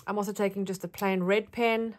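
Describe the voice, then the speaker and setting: A woman's voice: mid-range voice, little pitch movement, brisk, slight background noise. Chatty South African woman crafter. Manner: chatty, friendly, rambling with fillers. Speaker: craft vlogger. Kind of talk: casual show-and-tell.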